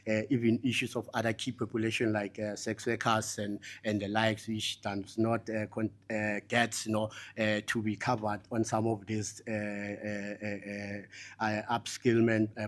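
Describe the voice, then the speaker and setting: A man giving a spoken report at a conference, very hesitant, with many fillers and broken phrases. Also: medium pitch. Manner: very halting, heavy hesitation, repeated verbal fillers. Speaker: summit delegate reporting back for a group.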